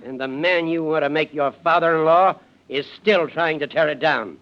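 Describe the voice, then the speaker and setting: A man's voice: fairly high pitch, normal speed, natural voice. An actor playing a stern, sardonic, wealthy old father who commands with biting sarcasm, in 1940s radio drama style. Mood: angry. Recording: muffled old-time radio recording.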